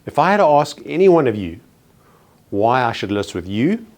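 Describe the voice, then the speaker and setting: A man's voice: mid-range voice, big pitch swings, a little mellow. A man with a South African accent giving a motivational sales training talk to real estate agents, stressing his points in short punchy contrasts. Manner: emphatic, punchy paired contrasts, slows on key words.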